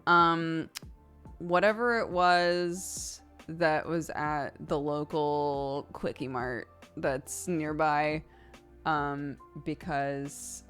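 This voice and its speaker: A woman in her thirties streaming from home, chatting casually with her viewers, giggling often and swearing offhandedly. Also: low voice.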